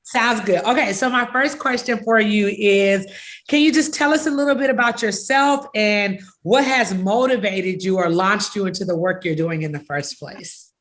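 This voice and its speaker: A woman's voice: medium pitch, normal speed, fairly bright tone. A woman hosting a conversational interview as a pastor, speaking in an effusive, welcoming way. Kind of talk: warm-up introduction and conversational interview.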